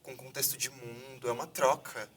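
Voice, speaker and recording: Bright-voiced male host, a bit distant. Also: medium pitch, fairly even intonation, a little fast. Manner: formal, scripted introductions. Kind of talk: videocast hosting. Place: university theater.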